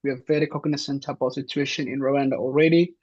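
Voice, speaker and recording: A man from Somalia gives an informational talk to an online audience, pausing often with fillers and restarting his sentences. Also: mid-range voice, steady tone, a little mellow. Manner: hesitant, many fillers and restarts. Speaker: presenter. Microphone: video call. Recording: dull lo-fi online session.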